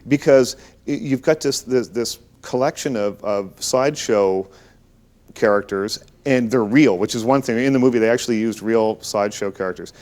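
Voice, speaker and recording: A man's voice: mid-range voice, normal speed, a little mellow. A man hosting a talk show, chatting casually about films and repeating words as he thinks. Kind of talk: casual film chat.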